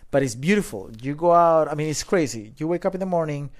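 A man in his thirties from Colombia, a podcast guest telling his story in a loose, animated way with many fillers. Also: a little high, quick pace. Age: 30s. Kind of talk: casual storytelling chat.

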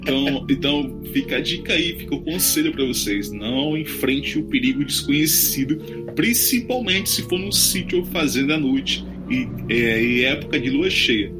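A man's voice: medium pitch, lively intonation, normal speed, rough recording. A Brazilian man hosting a casual, humorous chat in jokey, very slangy speech. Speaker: podcast host. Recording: clear music bed behind the voice. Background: instrumental music.

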